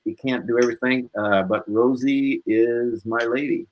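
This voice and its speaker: A man's voice: medium pitch, steady tone, normal speed, rough recording. A man speaking as a musician guest in a casual interview, telling stories in a rambling, easygoing way. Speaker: musician guest on a talk show.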